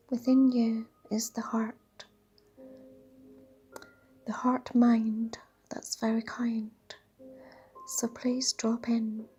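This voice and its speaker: A woman leading a guided meditation in a dreamy, soothing, half-whispered voice with drawn-out pauses. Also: fairly high pitch. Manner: breathy, half-whispered delivery. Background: soft sound bath music.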